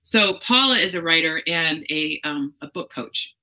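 A woman's voice: low-pitched, expressive, moderate pace, bright, forceful tone, rough recording. Chatty, enthusiastic woman interviewer. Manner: chatty and enthusiastic, full of fillers and storytelling. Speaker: interviewer and show host. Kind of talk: casual conversational interview.

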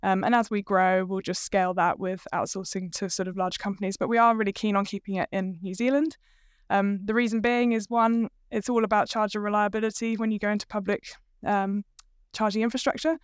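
A woman from the UK speaks with a British accent in an easygoing, rambling way, full of fillers and soft hedges. Her voice is smooth and clear. It is recorded dry and close. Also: medium pitch, fairly even intonation, brisk, no room sound. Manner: chatty, many fillers and hedges. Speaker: guest, company co-founder. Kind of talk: casual interview talk.

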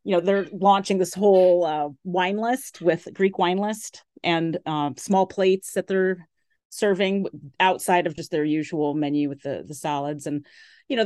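A woman restaurant columnist chatting on a radio interview, full of "like" and "you know" fillers, with an enthusiastic tone whose pitch swings up and down. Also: a little mellow, no echo, studio quality. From based in San Francisco area. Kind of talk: casual radio interview chat. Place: radio studio.

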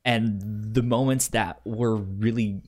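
A man's voice: lowish voice, steady tone, takes it slowly, a little mellow. A man co-hosting a podcast in casual chat, stammering and often repeating words. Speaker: podcast co-host.

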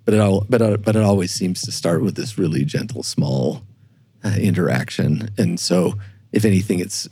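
A man with a low, mellow voice talks reflectively as a guest historian. He is earnest and halting, circling back over phrases. The sound is dry and close, with a slightly husky edge. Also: dry recording. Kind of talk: reflective podcast conversation.

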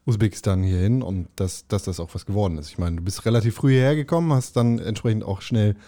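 Podcast host: male, somewhat low, warm tone, relaxed and joking. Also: quick pace. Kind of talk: casual podcast interview.

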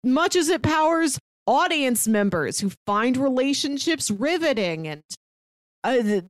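A woman's voice: high voice, very animated, measured pace. A woman co-hosting a casual film podcast over a remote call, chatty and laughing as she talks. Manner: chatty, laughs while talking. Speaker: podcast co-host.

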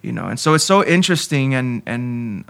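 Gravelly young man from Honduras. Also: medium pitch, very animated, normal speed, fairly bright tone. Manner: candid, searching, with many restarts. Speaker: podcast guest. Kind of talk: conversational interview.

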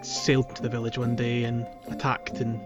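Guy hosting a gaming podcast, chatty, thinking out loud, music playing behind him. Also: medium pitch, monotone, moderate pace. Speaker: podcast host. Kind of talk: casual gaming podcast chat.

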